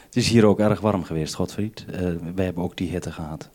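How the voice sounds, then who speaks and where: Low-voiced male radio reporter. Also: brisk, a little mellow. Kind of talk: live radio link-up, calling and questioning. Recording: old radio recording with faint noise, clicks.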